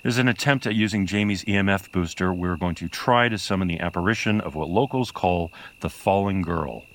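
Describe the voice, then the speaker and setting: A man's voice: sits low, a little fast, a bit bright. A man playing a terse, uneasy paranormal investigator in a naturalistic audio drama. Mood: businesslike. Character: uneasy male paranormal investigator leading a ghost experiment. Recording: faint noise, chirping night insects.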